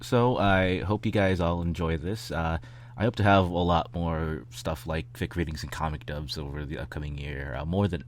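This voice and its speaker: A man hosting his channel, talking casually and informally to listeners in a halting, unscripted way. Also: extremely low pitch, a little hiss or hum. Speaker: host and fan fiction reader.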